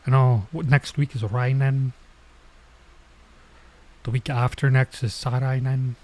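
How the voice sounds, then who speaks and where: A man's voice: mid-range voice, steady tone, a little slow, darkish tone. Casually rambling male game streamer. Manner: hesitant, rambling, thinking aloud. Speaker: streamer, virtual YouTuber. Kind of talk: casual livestream gameplay commentary.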